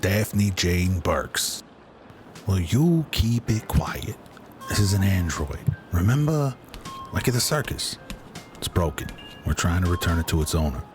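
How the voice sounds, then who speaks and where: A man playing a gruff, blunt, exasperated single father in a sci-fi audio drama. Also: low voice, sing-song, measured pace, faint music behind. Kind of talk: amateur radio drama acting.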